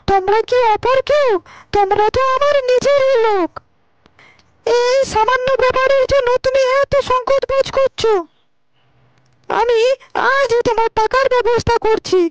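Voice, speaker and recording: Woman voicing very high-pitched, hospitable young village woman. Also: moderate pace, muffled recording, background noise. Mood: reassuring. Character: warm, hospitable young village woman eager to feed relatives. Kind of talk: Bengali cartoon character dialogue.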